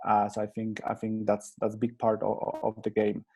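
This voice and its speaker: A man's voice: fairly low pitch, completely flat delivery, normal speed, gravelly, dark tone. A man in his thirties from Poland with a Polish accent, a guest talking through his career in an earnest, hesitant way with many restarts. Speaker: interview guest, agency founder. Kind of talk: conversational interview.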